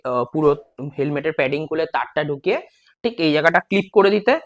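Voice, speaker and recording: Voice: medium pitch, expressive, fast talker. A vlogger casually walking through a gear setup step by step, speaking informally and directly to the viewer with English words mixed in. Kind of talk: casual product walkthrough, explaining step by step.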